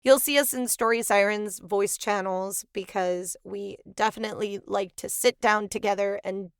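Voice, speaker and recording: A young woman co-hosting a podcast, chatting casually and animatedly, acting out quotes in quick asides. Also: mid-range voice, steady tone, smooth, dry recording, clean studio sound. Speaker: podcast co-host. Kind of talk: casual chat.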